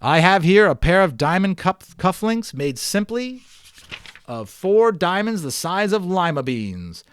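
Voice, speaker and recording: An exuberant balloon-adventuring professor, acted by a man reading a storybook, proudly declaims in a raised, theatrical voice. The sound is close and dry, and every phrase is pitched out to a crowd. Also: no echo. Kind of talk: dramatic storybook read-aloud.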